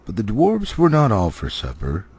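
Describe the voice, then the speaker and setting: Male amateur audiobook narrator, husky and creaky, hugely varied intonation, expressive storytelling. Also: fairly low pitch, normal speed. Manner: animated, slightly stumbling cold read.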